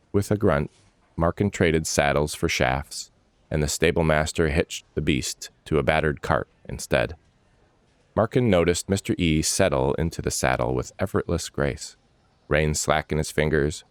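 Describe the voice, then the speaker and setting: A man's voice: extremely low pitch, no room sound. A man reading a scripted fantasy story as its narrator.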